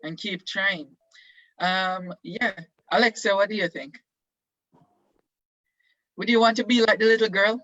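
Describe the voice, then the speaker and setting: Brief: male teacher, bright and high-pitched, halting encouraging questions, dull dry video call sound. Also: dry recording. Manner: halting and encouraging, many ums, slow prompting questions. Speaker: teacher leading a class discussion. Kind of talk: online classroom discussion.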